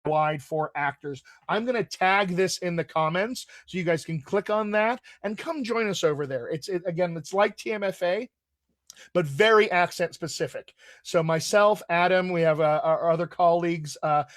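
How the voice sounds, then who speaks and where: A man's voice: sits high, normal speed, a bit bright, no room sound, studio quality. A man hosting a live video Q&A as a dialect coach, talking in an upbeat, promotional way with lots of fillers, over a video call. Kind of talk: casual live-stream chat.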